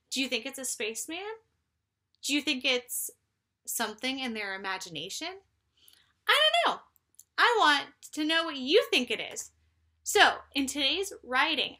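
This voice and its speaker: A woman reading a children's story aloud like a teacher, with deliberate, emphatic phrasing for young listeners. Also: high voice, bright, forceful tone.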